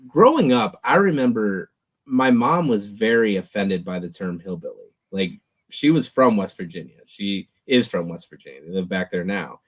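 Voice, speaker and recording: A young man co-hosting a casual movie chat, animated and sarcastic. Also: on the low side. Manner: animated, sarcastic, mocking voices. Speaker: podcast co-host.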